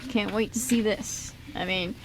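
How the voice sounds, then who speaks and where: A woman's voice: mid-range voice, steady tone, a little slow, background noise, lightly acted. A woman playing a jittery, wisecracking young male housebreaker in an amateur radio drama, with a casual, teasing delivery. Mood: teasing, curious.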